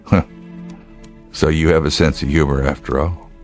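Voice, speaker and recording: A state trooper with an extremely low voice, set in a radio drama. He is gruff, measured and protective, with a dry, laconic humor. Faint music sits behind his lines. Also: steady tone. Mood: dry, wry. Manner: measured, laconic, dryly wry. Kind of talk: naturalistic radio drama acting.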